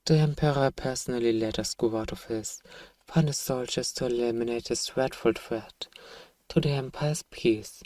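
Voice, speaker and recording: A man playing a self-righteous evil emperor, softly and coaxingly telling a bedtime story to a child in an ASMR roleplay. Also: mid-range voice, moderate pace, no room sound, natural voice. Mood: grandly narrating.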